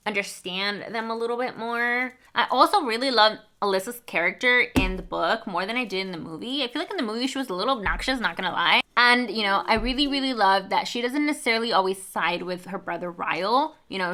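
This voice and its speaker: A young woman from Mexico, with Spanish as her first language, chats casually through a book review. She rambles with plenty of fillers and side remarks. Her voice sounds bright and sits at a middle pitch. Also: fairly quick, slight background noise. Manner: chatty, rambling, lots of fillers and asides. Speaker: book vlogger.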